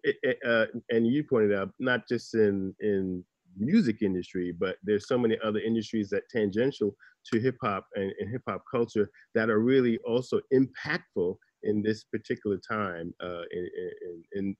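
A man moderating a panel over a video call, introducing the next guest in a measured way with many fillers. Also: fairly low pitch, no room sound, lo-fi sound.

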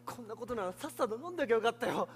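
An actor playing a hapless, flustered young defense lawyer on stage, whiny and exasperated. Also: male voice, very high voice, lively intonation, very fast, a little hiss or hum. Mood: whining. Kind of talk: theatrical stage acting. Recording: reverberant theatre recording, faint music.

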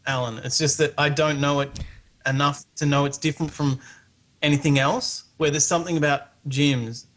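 A man's voice: fairly high pitch, steady tone, normal speed, fairly bright tone, a little hiss or hum. A man with an Australian accent, a guest on a live internet show, talking in a casual, rambling, good-natured and hesitant way. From Melbourne, Australia. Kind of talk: casual unscripted chat.

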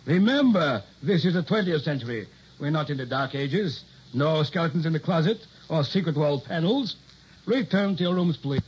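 A man acting a worried, secretive older scientist in a 1960s radio drama, speaking in a measured, formal, solemn way. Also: a little high, lively intonation, slightly rough. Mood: stern. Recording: muffled, noisy old radio recording.

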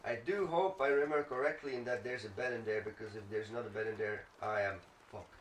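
A man's voice: lowish voice, fast. A man giving casual, rambling gameplay commentary, thinking aloud as he plays. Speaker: let's play gamer. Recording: roomy, distant mic, faint noise.

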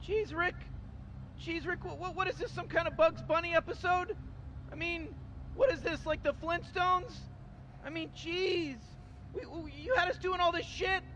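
A very high, squeaky teenage boy who stammers over nearly every phrase. He sounds nervous and whiny, tripping over his words as he protests. The recording picks up open outdoor street sound. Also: moderate pace, pushed and bright, slight background noise.

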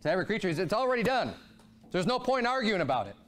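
A man's voice: high voice, rapid-fire. A man in his thirties preaching a sermon from a church pulpit, his voice climbing and dropping as he drives his points home. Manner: animated preaching, voice rising and falling a lot. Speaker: pastor. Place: church sanctuary, speaking at a pulpit.

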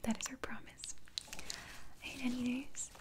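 A young woman whispers in an ASMR spa roleplay. Her voice sits fairly high and stays level. She is soothing and reassuring, like a technician attending to a client up close. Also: little pitch movement, a little slow. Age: young adult. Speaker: ASMR creator playing a beauty spa technician.

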